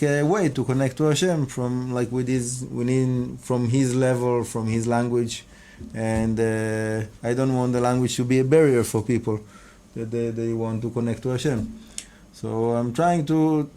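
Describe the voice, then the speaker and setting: A rabbi whose first language is Hebrew, answering interview questions in English in a halting, earnest way, often restarting and repeating words. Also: male voice, medium pitch, warm tone. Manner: earnest, devout phrasing. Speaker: rabbi and kabbalah teacher.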